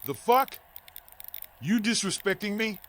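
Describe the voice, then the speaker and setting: A taunting street thug in a noir video game, with a fairly high voice. He is a menacing bully, mocking and confrontational. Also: animated, a little slow, a bit bright. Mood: angry threat.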